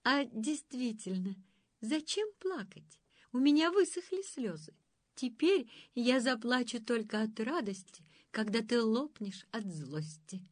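A gentle willow-tree spirit, high and soft, always on the edge of tears as a woman plays her. A fairy-tale voice, recorded dry and close. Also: lively intonation, measured pace, no echo. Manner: soft, sobbing, tearful.

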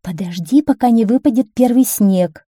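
A woman's voice: on the high side, normal speed, mellow, husky, no echo. A storybook queen and worried mother, voiced by a woman narrator. She speaks in a raised, emotional voice with emphatic phrasing. The recording is very dry. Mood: soothing. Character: anxious, protective queen and mother, sharp-tongued.

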